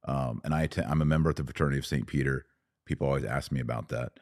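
A man speaking as a guest in a conversational podcast discussion, choosing his words in a halting, earnest and deliberate way. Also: a bass voice, darkish tone.

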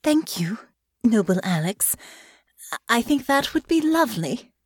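A high, swooping voice belongs to a shy young noblewoman, as a woman narrator puts her on. She speaks slowly and breathily, with flustered hesitations. The sound is dry and close. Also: sing-song, no room sound, full character voice. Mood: bashful. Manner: hesitant, breathy and soft-spoken. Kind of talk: audiobook character voice.

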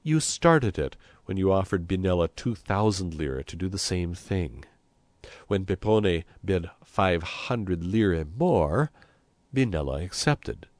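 Christ, voiced by a male narrator: low, unhurried, measured storybook figure, calm and gently reproving. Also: no room sound. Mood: matter-of-fact. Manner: measured, reasoning, unruffled. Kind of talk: storybook character reading.

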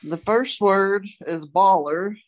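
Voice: medium pitch, slow, rough recording. A podcast co-host chatting casually with a group and explaining things in an informal way.

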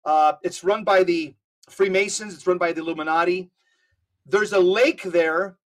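A man with a high voice speaks as a guest on a casual podcast. He tells his stories in an emphatic, animated way. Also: normal speed.

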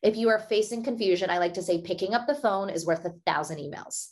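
Upbeat woman author giving brisk advice. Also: mid-range voice. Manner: upbeat and brisk, laughs often while explaining. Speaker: author and interview guest. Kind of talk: conversational expert advice.

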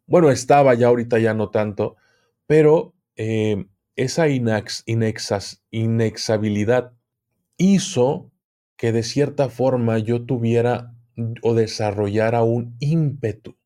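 A man speaking as a podcast guest, telling his story in a thoughtful, halting way with long pauses. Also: medium pitch, mellow. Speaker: podcast guest, occult documentarian. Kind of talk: reflective interview storytelling.

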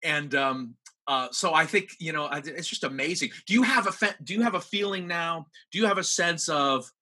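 A man hosting a casual interview, talking in an animated, rambling way. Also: fairly high pitch, moderate pace. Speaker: podcast host, interviewer.

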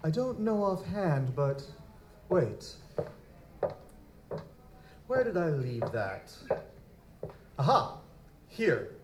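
A man's voice: fairly high pitch, big pitch swings, drawn-out words, pauses often, heavily acted. A man playing a formal, touchy young nobleman, a bereaved fiancé, in an audio drama, with stiff aristocratic diction. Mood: polite, formal. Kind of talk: live audio drama acting. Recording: roomy, echoing, noisy live recording.

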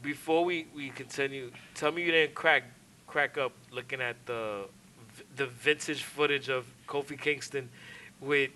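A man's voice: medium pitch, steady tone, a little slow, sharp tone, slight background noise. A man co-hosting a casual wrestling podcast, talking conversationally with wry commentary. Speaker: podcast co-host.

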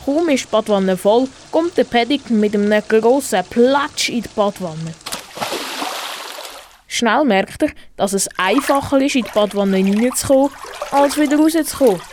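A woman's voice: a little high, expressive, normal speed, a little mellow, a little hiss or hum. A teenage girl with a Swiss German accent reading scripted narration for a school radio play.

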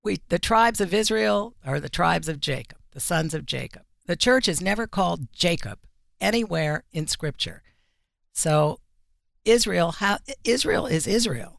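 A woman talks as a radio interview guest in a plainspoken, emphatic way. Her voice has a gravelly creak and the recording is dry, with no room sound. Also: on the low side, expressive, measured pace, no echo. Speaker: magazine editor-in-chief, interview guest.

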